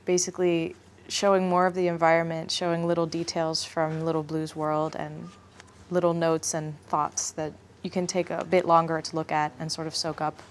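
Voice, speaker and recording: A woman with a slightly low voice explains her process as an animator. It is interview talk, plain and explanatory. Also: little pitch movement, normal speed, slight background noise.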